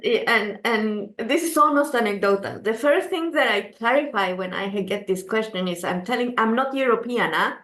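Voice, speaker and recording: A woman's voice: on the high side, animated, normal speed, few pauses, a bit bright. A woman from Chile, speaking English as an interview guest, answering earnestly with frequent restarts and "you know". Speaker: gender adviser, interview guest. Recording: roomy echo.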